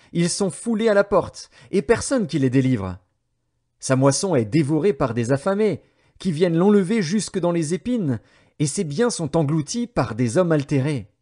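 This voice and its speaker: A man reading scripture as an audiobook narrator, in a measured, declamatory and solemn style with dramatic phrasing. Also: a little high, mellow.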